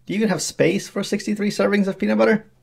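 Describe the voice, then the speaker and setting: A woman voicing a blunt, muscular young-sounding male shop clerk in a fan fiction story, gravelly and quick with his questions. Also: medium pitch, steady tone, a little mellow. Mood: incredulous.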